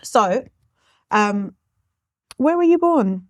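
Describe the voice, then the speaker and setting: A woman's voice: on the high side, animated, drawn-out words, dry recording, studio quality. Chatty young woman podcast host. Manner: chatty, encouraging, laughs easily. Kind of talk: casual interview.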